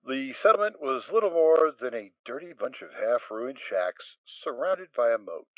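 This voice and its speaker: Male narrator for fan audio drama, lively pitch, dry dull recording. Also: normal speed, no echo. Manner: expressive storytelling.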